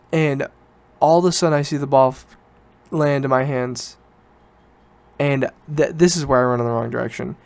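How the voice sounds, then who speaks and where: A man's voice: on the high side, dry recording. A man in his late teens chatting casually as a solo podcast host, informal and rambling, often correcting himself.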